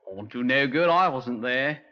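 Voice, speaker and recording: A man's voice: medium pitch, lively intonation, a little slow, bright, forceful tone. A man playing a cocky, wary young crime suspect with slangy, insolent talk in a 1950s radio drama. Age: young adult. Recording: muffled 1950s radio recording.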